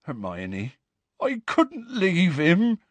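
A man's voice: mid-range voice, big pitch swings, moderate pace, fairly bright tone, husky, no echo, voice pushed up. A man voicing a huge, good-hearted male half-giant in an audiobook, pleading in earnest, ungrammatical rustic speech.

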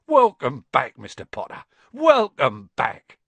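A man's voice: extremely high pitch, sing-song, moderate pace, no echo, pitched above the performer's own voice. A man voicing an awestruck old barman who exclaims reverently in an audiobook. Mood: tearful welcome. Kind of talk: audiobook character acting.

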